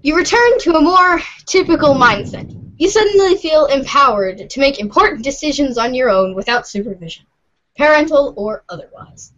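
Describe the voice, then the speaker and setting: A woman's voice: sits high, animated, moderate pace, fairly bright tone. A woman reading scripted narration aloud as part of an amateur readers' theatre. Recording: dull, lo-fi audio.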